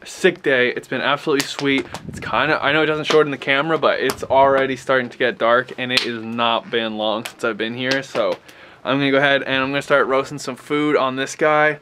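A young man in his twenties riding a dirt bike through snowy woods, talking excitedly to the camera and cheering himself on. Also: medium pitch, brisk. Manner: hyped, exclaiming, cheering himself on. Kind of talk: excited ride commentary to camera. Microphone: helmet or action camera, then selfie camera at arm's length. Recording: loud engine and wind noise. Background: motorcycle engine.

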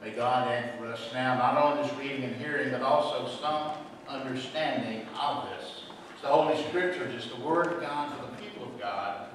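A middle-aged man preaching a sermon in a church sanctuary, telling a story and swinging between hushed and loud delivery. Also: medium pitch, moderate pace, noisy background. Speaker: pastor. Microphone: room microphone, far from camera. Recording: roomy echo, distant mic.

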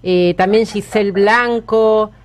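An Argentine woman speaks as co-host on a radio show in an informal, conversational style. Her voice has an average pitch for a woman. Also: steady tone, slow pace. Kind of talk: casual radio interview.

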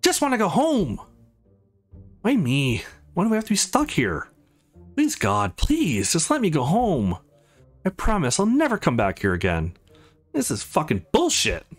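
A man's voice: fairly high pitch, wildly swooping pitch, measured pace, pauses often, voice pushed up, heavily acted. A man voicing an anxious, hot-tempered, desperate young man in a visual novel, over music. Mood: angry, desperate. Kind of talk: visual novel dialogue read aloud.

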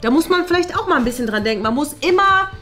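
A woman's voice: high-pitched, animated, quick pace, bright, forceful tone, faint music behind. A woman acting a condescending, exasperated former headmistress in a comedy sketch, lecturing pointedly. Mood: indignant, condescending. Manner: pointed, lecturing, ends phrases with "ne?". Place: small bright meeting room.

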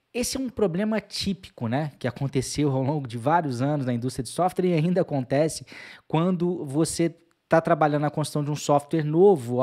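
A man's voice: mid-range voice, warm tone. A man in his forties with a Brazilian accent, explaining a lesson conversationally as an exam prep instructor, speaking into a close desk microphone. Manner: conversational, frequent "né" tags. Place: small home office, indoors.

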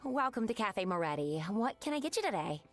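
A woman's voice: medium pitch, big pitch swings, brisk, fairly bright tone, no echo. A woman playing a bubbly, effusive, welcoming cafe owner in a video game. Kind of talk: video game character acting. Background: music.

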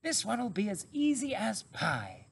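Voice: mid-range voice, huge pitch swings, measured pace, vocal fry, slight background noise, voice pushed up, exaggerated voice. A storybook narrator voicing a clever father fox who sounds cheerful and commanding. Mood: confident.

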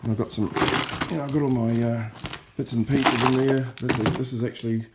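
A man's voice: mid-range voice, steady tone, a little fast, very noisy. A man in his fifties with a New Zealand accent, explaining things informally and unhurriedly in a casual fishing vlog. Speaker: fishing vlogger.

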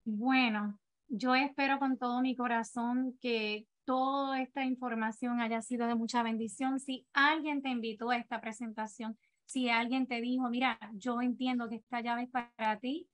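A woman's voice: a little high, steady tone, moderate pace. A woman in her thirties with a Puerto Rican accent gives an earnest, encouraging business presentation over a video call. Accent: Puerto Rican Spanish. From Puerto Rico. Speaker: direct-sales team leader. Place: home office, indoors.

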